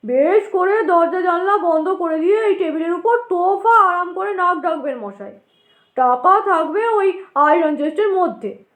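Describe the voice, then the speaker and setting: A loud, excitable station master played by a woman in an audio drama, high in pitch and ringing in a roomy echo. He is chatty and blustery, a short stout man who scoffs freely and insists on his point. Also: unhurried. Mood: confiding, emphatic. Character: short stout talkative middle-aged male station master, excitable, sceptical. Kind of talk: dramatized audio story dialogue.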